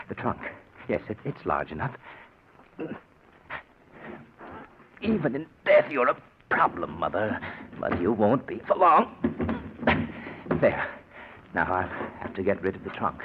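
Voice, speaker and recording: Through the hiss of a muffled old radio play comes a low-pitched husband with murder on his conscience. He is nervous and unstable, stammering and hesitating as if cornered. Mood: panicked, scheming. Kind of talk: 1940s radio acting.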